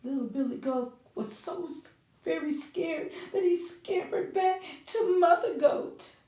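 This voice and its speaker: A woman's voice: very high-pitched, a little slow, mellow. A woman hosting a children's storytime, reading aloud and explaining things simply with questions for young listeners. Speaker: children's librarian storytime host. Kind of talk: children's storytime read-aloud and sing-along. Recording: echoey room.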